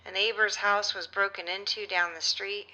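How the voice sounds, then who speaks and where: A middle-aged actress playing a grieving mother of a missing son, halting and emotional, in a naturalistic audio drama interview. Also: mid-range voice, flat delivery, sharp tone, low-quality recording. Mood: weary, regretful.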